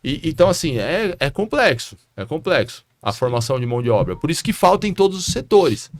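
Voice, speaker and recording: A man's voice: on the high side, sing-song, fairly quick. A young adult man, a carpenter guest, chatting in a laid-back, slangy way on a podcast. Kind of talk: casual podcast chat.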